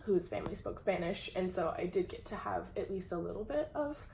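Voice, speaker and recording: A woman's voice: fairly low pitch, lively intonation, gravelly, a little mellow. Hesitant young woman in an echoey room. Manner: hesitant, many "um" pauses, laughs easily. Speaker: interviewer. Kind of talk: casual conversation. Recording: echoey room with faint background hum.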